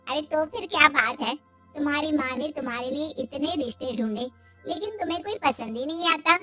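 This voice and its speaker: A woman's voice: high voice, fairly quick, pushed and bright, faint music behind. A woman playing a meddling matchmaking auntie in a cartoon family drama. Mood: prying. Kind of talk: Hindi cartoon dialogue.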